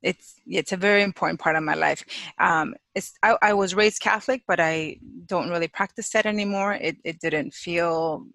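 A woman from Chicago, a psychologist, speaking in a casual interview with frequent laughs and thoughtful pauses. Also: lowish voice, vocal fry, no room sound. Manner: warm, laughs often, thoughtful pauses with fillers. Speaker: guest, clinical psychologist and sex therapist. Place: home office in Hawaii.

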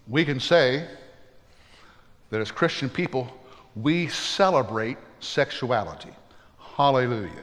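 A man's voice: medium pitch, animated, unhurried, pauses often, fairly bright tone, big-room sound. A man preaching a sermon as pastor, earnest and plainspoken, with folksy humorous asides.

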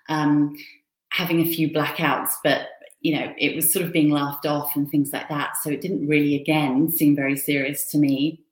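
Low-voiced woman telling her story, roomy sound. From Switzerland. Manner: reflective, open, unhurried telling. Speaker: guest. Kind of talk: candid personal recovery story. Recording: roomy echo.

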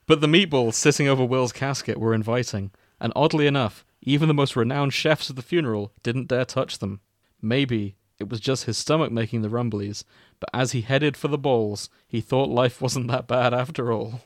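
A man's voice: medium pitch, moderate pace, no room sound, studio quality. A man narrating fan fiction for comedy with casual asides, in an amused manner. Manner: amused, often breaking into laughter.

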